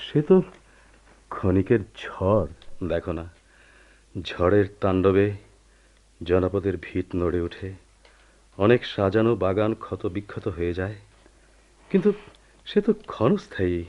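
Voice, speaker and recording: An actor playing a steadfast, patient husband in a radio drama, earnest and deliberate. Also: male voice, low-pitched, expressive. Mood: calm, firm. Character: steadfast, patient husband pleading with his wife. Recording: muffled old radio play recording.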